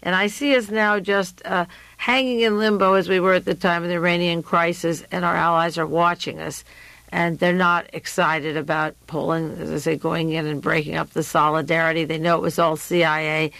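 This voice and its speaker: A woman hosting a radio show, delivering a rambling political monologue with frequent pauses. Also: on the low side, little pitch movement, creaky, fairly bright tone, no room sound, rough recording.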